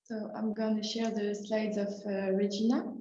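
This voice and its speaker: A woman's voice: mid-range voice, flat delivery, moderate pace, warm tone, echoey room, lo-fi sound, slight background noise. A woman moderating a webinar, polite and hesitant as she introduces the speakers.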